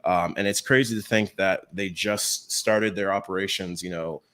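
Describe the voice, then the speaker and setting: Low-voiced man chatting through industry trends. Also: fairly even intonation, normal speed, a bit bright. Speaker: co-host presenting retail trends. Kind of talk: casual conversational presentation.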